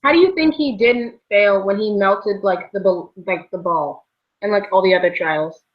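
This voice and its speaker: A woman's voice: mid-range voice, normal speed. A young woman co-hosting a casual, chatty discussion about books. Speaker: book discussion show co-host. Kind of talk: casual book chat. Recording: dull, lo-fi sound.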